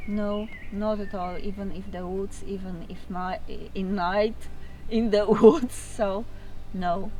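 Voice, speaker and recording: A woman with a Polish accent gives casual interview answers at an unhurried pace. She talks in short, emphatic phrases, recorded a little way from the microphone. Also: medium pitch, steady tone, warm tone, far from the mic, a little hiss or hum, poor audio. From Poland. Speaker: interviewee, solo traveller.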